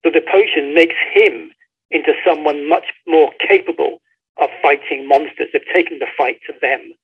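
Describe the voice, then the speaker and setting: A man's voice: on the high side, normal speed, breathy edge. A man, a guest author explaining a story in emphatic, conversational storytelling over a phone call. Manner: emphatic storytelling, acts out quoted dialogue. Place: remote call. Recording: tinny phone line.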